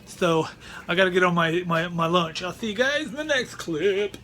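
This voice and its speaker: A man's voice: sits high, normal speed, sharp tone, slight background noise. A middle-aged man talking casually at home for his vlog, rambling and often repeating words as he restarts sentences. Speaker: vlogger. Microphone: close mic.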